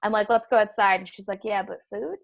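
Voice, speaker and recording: A woman's voice: mid-range voice, fairly even intonation, quick pace, vocal fry, a crowd in the background. A woman guest on a podcast, telling stories casually with many "likes" and giggles. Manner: chatty, giggly, lots of "like". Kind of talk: casual storytelling chat. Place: alone at home.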